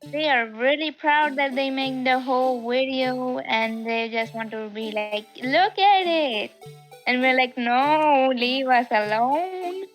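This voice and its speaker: A woman's voice: high voice, slow pace, soft background music. A woman joking casually with friends during a voice-acting stream of a game. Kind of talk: casual chat.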